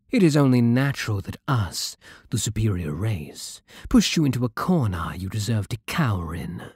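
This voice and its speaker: A man with a British accent voicing a possessive, regal vampire king in an ASMR roleplay, soft, breathy and condescending. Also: on the low side, dry recording, clean studio sound, exaggerated voice. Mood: haughty, taunting. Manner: slow, menacing, haughty purring.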